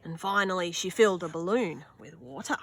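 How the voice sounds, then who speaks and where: A young woman reading a children's picture book aloud outdoors, slow and expressive, with gasps and little asides. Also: lowish voice, breathy edge. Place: outdoors on a sunny wooden deck.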